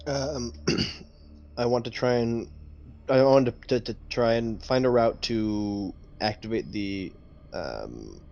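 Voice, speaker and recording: A man talking through a move as a player in a tabletop game, in a hesitant, halting way. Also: mid-range voice, animated, vocal fry, dark tone, highs cut off. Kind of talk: casual game discussion.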